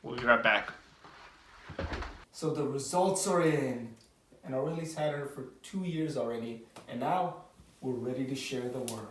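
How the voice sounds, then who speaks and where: Young man, from Romania, playful teasing chat, mid-pitched voice, echoey room, far from the mic. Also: a little slow, long pauses, fairly bright tone, slight background noise. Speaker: vlog co-host. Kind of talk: casual chat.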